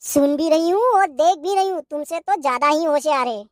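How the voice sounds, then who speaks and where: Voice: high voice, moderate pace, no room sound, clean studio sound, natural voice. A performer voicing a hot-tempered young wife in a cartoon comedy, scolding and sarcastic. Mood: scornful scolding.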